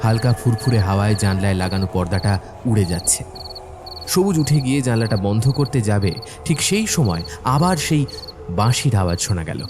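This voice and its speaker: A man's voice: fairly low pitch, expressive, normal speed, music in the background. A man narrating a scripted horror cartoon as a dramatic storyteller.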